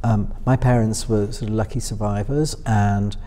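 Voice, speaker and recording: A middle-aged man from Northwest England with a softened upper-middle-class accent, musing through interview answers with many fillers and restarts. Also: lowish voice, fairly even intonation, moderate pace. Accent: upper-middle-class Northwest English softened by London. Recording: voice with long hall reverb.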